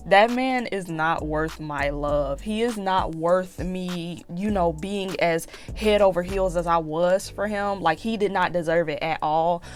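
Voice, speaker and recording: A woman in her twenties talking candidly in a casual confessional vlog, slightly raspy from allergies, with lots of fillers and restarts. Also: on the low side. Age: 20s. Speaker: YouTube vlogger.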